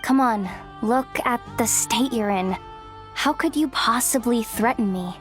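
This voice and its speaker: A woman's voice: fairly high pitch, a little slow, faint music behind, natural voice. An actress playing a loyal, kind-hearted, streetwise young woman in a video game, speaking in an earnest, plucky, slightly guarded way. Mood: warm, firm. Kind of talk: dramatic video game voice acting.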